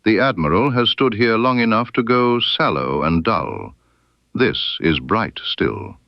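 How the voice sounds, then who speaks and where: A man voicing a keen, composed detective in an audiobook story, with precise, deliberate and quietly probing speech. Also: lowish voice, lively intonation, gravelly, no room sound, muffled recording, voice pushed up. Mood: absorbed, analytical. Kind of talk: audiobook character dialogue.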